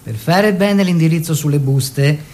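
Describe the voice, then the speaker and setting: An actor playing a devoted young painter husband, earnest and protective, in a measured theatrical delivery. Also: male voice, on the high side, a little mellow, lightly acted. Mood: earnest, instructing. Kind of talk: theatrical monologue and letter reading.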